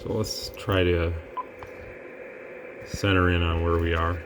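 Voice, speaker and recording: A man testing radio gear in a relaxed, rambling way, breaking into mic checks and counting. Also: low voice, slow, very noisy. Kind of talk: casual product demo.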